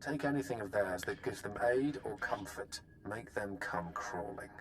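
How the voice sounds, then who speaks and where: An actor playing a resolute, ruthless military strategist in a video game, speaking formally and sternly. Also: male voice, lowish voice, moderate pace, a little hiss or hum, natural voice. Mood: stern, doubtful. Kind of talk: scripted game dialogue.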